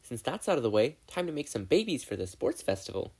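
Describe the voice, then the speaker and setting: A man's voice: mid-range voice, very animated, quick pace, darkish tone, slightly rough. A male reader puts on a teenage girl inventor from a hero story. She is brash and eccentric, breezy about danger and boastful in her reckless glee.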